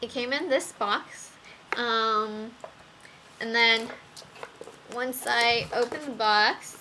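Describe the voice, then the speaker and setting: A young woman reviewing makeup brushes in a casual vlog, talking slowly with many ums while her voice wears out. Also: fairly high pitch, noisy background. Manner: slow, with many ums and fillers. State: losing her voice. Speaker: beauty vlogger.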